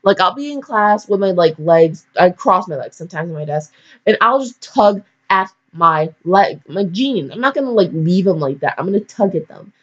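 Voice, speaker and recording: A woman's voice: on the low side, expressive, normal speed. A teenage girl casually reading aloud and rambling off on goofy, self-deprecating asides. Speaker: fan fiction reader. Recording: dull lo-fi microphone.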